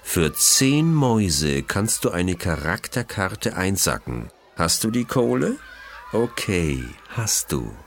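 A man's voice: low-pitched, sing-song, takes it slowly, slight background noise, full character voice. A man voicing a shady, cocky teenage card forger with growling, slangy hustler talk in a children's audio mystery. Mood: cocky, sly.

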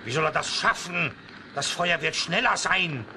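An actor playing an excitable, loyal, rough-edged wooden-legged man in a dramatic children's radio play, with a creaky voice. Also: a little high, normal speed, background noise. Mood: alarmed, pleading. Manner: dramatic, exclamatory.

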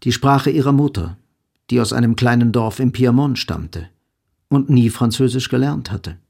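Narrator, man: raspy, fry-edged voice for scripted literary storytelling. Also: mid-range voice, normal speed, darkish tone.

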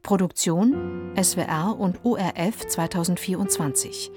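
A female radio announcer reads scripted credits in a formal manner. Her voice is on the low side and unhurried, and music plays clearly behind her. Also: mellow. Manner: formal, clearly structured reading.

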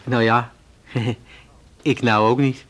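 An actor playing a nervous, defensive young nightclub entertainer in a radio play, with a hesitant, evasive way of talking. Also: male voice, mid-range voice, level tone, extremely slow, a bit bright, a little hiss or hum. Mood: uneasy. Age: young adult.

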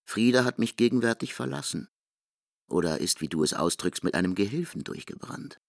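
Radio drama: deliberate, reasoning jilted man, gravelly low voice, expressive, dry. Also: dry recording. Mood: reflective. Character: K, a deliberate, reasoning man abandoned by his lover. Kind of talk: radio play acting.

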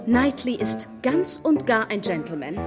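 A woman's voice: a little high, big pitch swings, measured pace, loud music behind the voice. An actress playing a self-important married society woman in a radio drama, effusive and affected, with emphatic delivery. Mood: self-satisfied.

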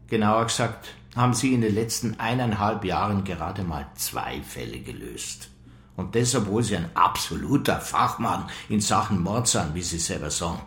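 An actor playing a sceptical police superior in a radio play, official and deliberate. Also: male voice, on the low side, roomy sound. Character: sceptical, measured male police superior, quietly probing. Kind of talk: radio drama acting.

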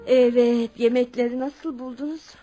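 A woman's voice: fairly high pitch, steady tone, normal speed, music in the background, lightly acted. An actress playing a kindly, insistent older well-off lady in a radio drama, speaking. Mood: warm hospitable. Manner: motherly, addresses others as her children. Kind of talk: Turkish radio drama acting.

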